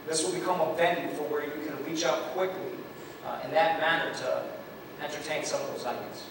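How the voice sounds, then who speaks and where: A man giving formal welcome remarks as a college host, speaking in an earnest, steady way. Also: a little high, brisk. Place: event room. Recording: echoey hall mic from far off, background noise.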